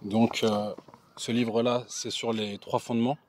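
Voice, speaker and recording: A man interviewing passers-by on the street, friendly and warm in tone, often adding Arabic blessings. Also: mid-range voice, level tone, measured pace, slight background noise. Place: outdoors on a street.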